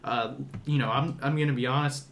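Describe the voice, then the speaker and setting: A man's voice: medium pitch, fairly even intonation, a little fast, a bit bright, a little hiss or hum. A young man hosting a podcast, discussing movies casually and conversationally, often padding his points with small hedges. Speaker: podcast host.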